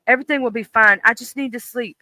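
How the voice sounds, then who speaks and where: Frightened young man muttering to himself, voiced by a story reader, bright tone, dry close sound. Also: mid-range voice, quick pace, no room sound. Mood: self-soothing. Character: shaken young man talking himself calm.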